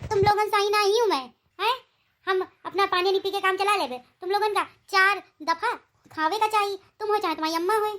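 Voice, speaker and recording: A woman voicing sharp-tongued, combative village women in a comic cartoon, with an Awadhi Hindi accent. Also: very high-pitched, steady tone, fairly quick. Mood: defiant, irritated. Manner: scolding, combative. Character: sharp-tongued village wife and her scolding mother-in-law, cartoon.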